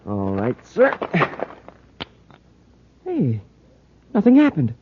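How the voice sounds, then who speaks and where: Eager, animated young space cadet. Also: male voice, on the high side, very slow, noisy background, full character voice. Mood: surprised. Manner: boyish, curious, exclaiming. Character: eager, plain-spoken young male space cadet sidekick. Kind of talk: old-time radio drama acting. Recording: dull, dry old radio recording.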